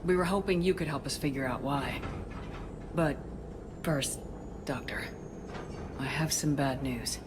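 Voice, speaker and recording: A woman's voice: rumbling low, measured pace, pauses often, noisy background. An actress playing a steely but compassionate female space commander in a video game, with a terse, authoritative manner. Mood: grave, gentle. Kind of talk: cinematic video game dialogue.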